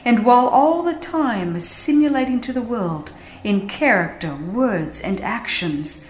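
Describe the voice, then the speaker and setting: A woman reading a religious audiobook as a dramatized narration, with solemn, emphatic and deliberate phrasing. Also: a little high, animated, a little mellow, roomy sound, slight background noise.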